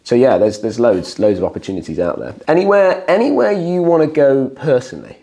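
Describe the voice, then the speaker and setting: A man hosting a casual chat, his voice croaky, often repeating himself and restarting. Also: mid-range voice, big pitch swings, fairly quick, mellow. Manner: rambling, repeats words and restarts. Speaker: host.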